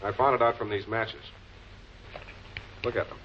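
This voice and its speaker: An actor playing a composed, authoritative prosecutor in a 1940s television crime drama, speaking in a measured, deliberate, commanding way. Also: male voice, lowish voice, steady tone, lightly acted. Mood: reasoning. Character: composed, authoritative male public prosecutor cornering murder suspects. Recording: muffled, noisy old film soundtrack.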